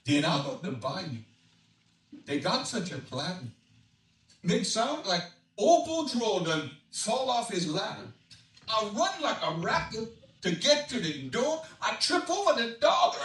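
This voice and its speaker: A man voicing an excitable old Cajun storyteller in dialect, with dramatic swoops, reading a storybook tale. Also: sits high, takes it slowly, long pauses, voice pushed up, heavily acted. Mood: excited, hollering. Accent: Cajun Louisiana. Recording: echoey room, distant mic, noisy.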